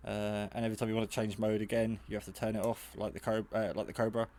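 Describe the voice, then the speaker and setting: A man's voice: fairly low pitch, little pitch movement, fast talker, a little mellow. A man giving a casual, hands-on product demonstration in a hesitant, rambling, matter-of-fact way. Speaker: hobbyist radio reviewer. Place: garage.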